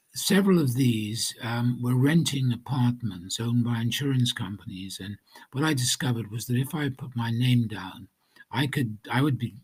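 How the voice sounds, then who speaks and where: Welsh-raised man, husky, mid pitch, halting personal testimony. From Wales. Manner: hesitant, many ums and restarts. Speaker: guest telling his recovery story.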